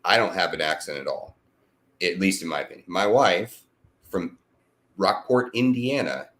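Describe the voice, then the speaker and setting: A man from the American Midwest hosting a casual chat, telling drawn-out stories in a laid-back way with some swearing. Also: low-pitched, a bit bright. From American Midwest, born in Tennessee. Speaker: host.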